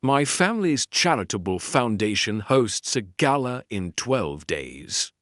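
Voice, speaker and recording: A man voicing a cold, calculating crime boss in his thirties for an audiobook, precise and deliberate. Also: mid-range voice, very animated, fairly bright tone, dry recording, studio quality. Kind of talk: audiobook character dialogue.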